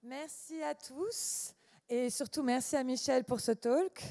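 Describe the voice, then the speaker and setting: A woman with a higher, mellow voice co-hosts a conference session and briskly manages the crowd between talks. Her voice carries a long reverb from the hall. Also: vocal fry. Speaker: event co-host.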